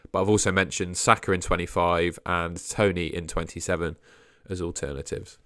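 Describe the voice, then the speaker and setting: A young man with a sore throat hosting a casual fantasy football live stream, hedging his picks and trailing off quietly at the ends of phrases. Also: sits low, steady tone, normal speed, dry recording, clean studio sound. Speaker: fantasy football livestream host. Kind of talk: casual live stream chat answering viewers.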